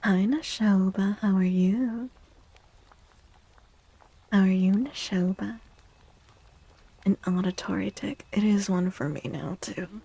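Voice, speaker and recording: A woman speaking softly and gently in a breathy, half-whispered ASMR style, like a livestreamer, often repeating phrases. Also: medium pitch, slow, long pauses, no room sound. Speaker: streamer and tarot reader. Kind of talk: soft-spoken ASMR livestream with casual chat.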